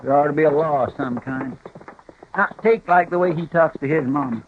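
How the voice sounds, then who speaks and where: An actor playing a talkative, folksy frontier sidekick with a homespun drawl, in a 1950s radio drama. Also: male voice, fairly high pitch, brisk, slight background noise, natural voice. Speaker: marshal's helper. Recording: tinny old radio with saloon crowd behind.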